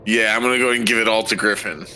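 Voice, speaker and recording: A man's voice: fairly low pitch, fairly even intonation, fast talker, sharp tone, rough recording. A man chatting casually as a player in a tabletop game.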